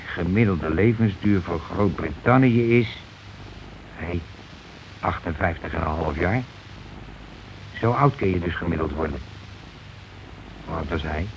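A man's voice: low voice, measured pace, pauses often. A man acting in a radio play as a bossy, blustering working-class husband who argues colloquially and keeps demanding agreement. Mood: muttering, pondering. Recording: dull, noisy old radio recording.

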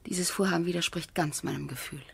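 An actress playing a thoughtful, wary aristocratic wife in a radio drama, with measured, intimate, softly breathy delivery. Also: female voice, lowish voice, fairly even intonation, full character voice. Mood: anxious, pleading. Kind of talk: 1970s German radio drama acting.

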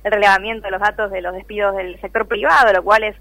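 A woman's voice: mid-range voice, steady tone, quick pace, a bit bright, dry recording. A woman with an Argentine accent speaking as an interview guest on a phone call, earnest and a little hesitant. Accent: Argentine Spanish. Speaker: interview guest, activist behind a data project. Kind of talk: spontaneous radio interview. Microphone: telephone call.

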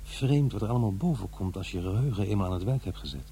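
Mellow low-voiced middle-aged father. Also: expressive, quick pace, slight background noise. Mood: reminiscing. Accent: Netherlands Dutch. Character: middle-aged divorced father, practical, money-minded, sceptical. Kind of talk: radio play acting, dialogue and narration.

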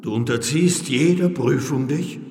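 An actor playing a stern, formal temple priest who questions ceremoniously in a radio drama. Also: male voice, mid-range voice, unhurried, darkish tone, close to an everyday voice. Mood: stern, challenging. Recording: echoey reverberant hall, music behind.

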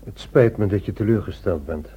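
An actor playing a guarded, courteous husband in a radio play, speaking in a measured, quietly firm and wry way. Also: male voice, lowish voice, muffled recording, noisy background, close to an everyday voice. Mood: subdued.

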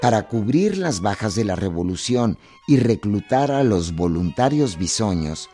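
Slow, mellow man narrating. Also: fairly low pitch. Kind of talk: scripted dramatic narration of radio history drama.

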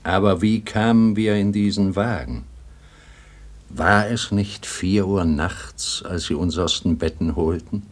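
A man's voice: deep voice, a little slow. A man playing a troubled old grandfather in a German radio play, hesitant and wistful, longing for a world he remembers.

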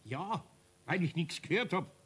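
A man's voice: high-pitched, animated, a little slow, fairly bright tone, breathy edge, full character voice. A man playing a kindly, flustered older master craftsman with a Bavarian accent in a 1970s radio play, speaking in a folksy, hesitant way. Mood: relieved, apologetic. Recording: noisy old 1970s radio recording.

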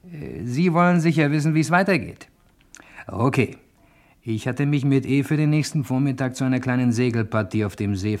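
An actor playing a boastful private detective who gets flustered by women, in a German radio crime drama. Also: male voice, mid-range voice, darkish tone, lightly acted. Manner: hesitant and bumbling with women, smug when narrating.

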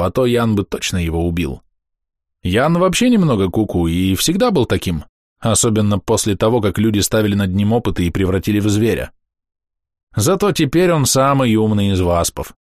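Low-voiced man reading a diary. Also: sing-song, moderate pace, a little mellow, no echo, studio quality. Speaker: audiobook narrator. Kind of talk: first-person diary narration.

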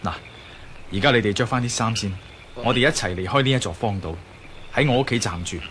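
An actor playing a level-headed adventurer in a Cantonese radio drama, explaining and reassuring. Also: male voice, mid-range voice, brisk, fairly bright tone, slight background noise, close to an everyday voice. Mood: calm, reassuring.

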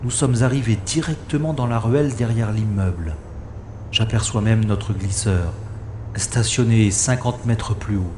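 A man's voice: lowish voice, a little slow, reverberant, background noise, lightly acted. An actor playing a wisecracking special agent who narrates his own science-fiction adventure in a wry, theatrical way. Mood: tense, focused. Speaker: lead actor and narrator of an audio drama. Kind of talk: dramatised first-person narration, sci-fi radio play.

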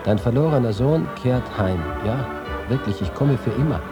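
Man acting ageing male reporter, nostalgic and proud, expressive literary delivery, radio drama, clear music behind. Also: mid-range voice, moderate pace, natural voice. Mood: wistful, tender. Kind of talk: radio feature dramatic monologue.

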